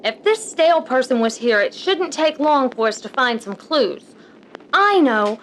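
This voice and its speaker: An earnest, prim superheroine, as a woman acts her, speaks in a high, very lively voice. She sounds heroic and instructive, in old serial style, with faint noise behind. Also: a little slow, low-quality recording. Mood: confident, alert. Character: wholesome, earnest cartoon superheroine.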